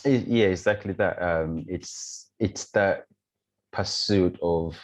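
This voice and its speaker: A man's voice: deep voice, takes it slowly. A man born in Uganda, a podcast guest telling a personal story in a reflective, hesitant way with many fillers. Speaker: podcast guest, running coach. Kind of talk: conversational storytelling.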